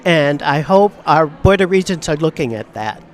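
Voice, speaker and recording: A woman's voice: sits low, animated. Earnest older woman, outdoor campus interview. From Southern California. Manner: earnest, measured, repeats phrases for emphasis. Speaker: professor interviewed on a strike.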